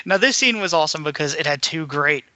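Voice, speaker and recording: A man's voice: on the high side, animated, normal speed, sharp tone, no room sound, poor audio. A man co-hosting a podcast, talking casually and with a fan's enthusiasm about films and books. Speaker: podcast co-host. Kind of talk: casual film and book discussion.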